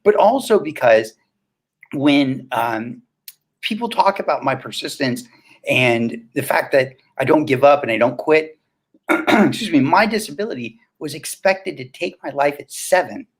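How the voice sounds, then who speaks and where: A man's voice: medium pitch, lively intonation, a little slow, breathy edge. Man in his fifties, motivational guest. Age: 50s. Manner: asks and answers his own questions. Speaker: guest, transformational coach and speaker. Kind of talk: casual motivational interview talk.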